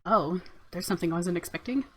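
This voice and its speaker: A woman reading visual novel narration aloud and reacting to it in an expressive, giggly way. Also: fairly low pitch, fast, a little hiss or hum.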